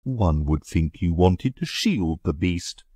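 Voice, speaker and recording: A man voicing a blustering, indignant country gentleman in a reading of a story. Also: sits low, lively intonation, slow, slightly rough, no echo, clean studio sound, pitched above the performer's own voice, heavily acted. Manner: blustering, emphatic.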